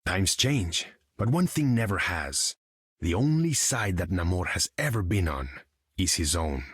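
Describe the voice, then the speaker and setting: A man's voice: low voice, animated, measured pace, fairly bright tone, slightly rough, no echo, studio quality, exaggerated voice. An actor playing a proud, imperious undersea king in a video game, speaking in a pompous, formal way. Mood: proud, aloof. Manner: pompous, grandiose, formal speech. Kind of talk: video game character dialogue.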